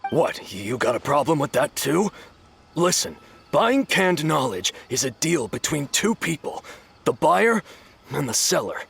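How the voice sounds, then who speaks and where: Gravelly surly buyer, lively swinging pitch, gruff, argumentative, game character. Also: male voice, a little slow, soft background music, heavily acted. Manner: gruff, argumentative, casual slang.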